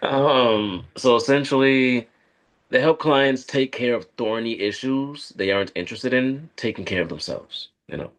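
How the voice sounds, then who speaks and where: A man acting a guarded corporate operative on a date in a conversational script read, speaking in a halting, evasive way. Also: mid-range voice, lightly acted. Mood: evasive, explanatory. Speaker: actor at a live script read. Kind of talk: conversational screenplay table read.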